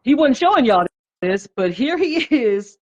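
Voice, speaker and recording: A woman's voice: sits high, big pitch swings, a little slow, darkish tone. A woman in her fifties hosting a live stream chat, heartfelt and reflective, greeting viewers by name as she talks. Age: 50s. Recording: dull lo-fi livestream audio.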